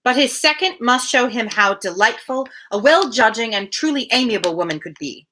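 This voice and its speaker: A woman's voice: a little high, lively intonation, normal speed, bright, forceful tone, a little hiss or hum. A woman reading a classic novel aloud as the host of a live reading, at times speaking casually. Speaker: reader hosting a daily live book reading.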